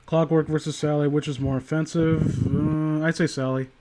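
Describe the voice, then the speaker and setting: Male co-host for comedic commentary, higher register, casual, rambling, sarcastic and crude. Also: little pitch movement, normal speed, dark tone.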